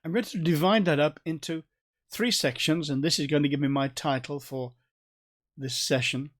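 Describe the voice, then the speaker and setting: A man with a North Staffordshire accent giving a recorded Bible study in a conversational way, with restarts and gentle asides. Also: fairly high pitch, steady tone, normal speed. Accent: North Staffordshire English. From England. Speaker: Bible teacher.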